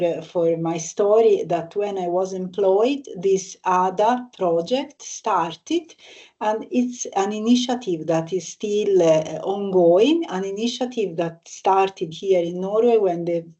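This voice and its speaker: Slow Italian-accented woman professor. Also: medium pitch, warm tone, highs cut off. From Italy. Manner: unhurried, many hesitations. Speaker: computer science professor. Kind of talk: panel presentation.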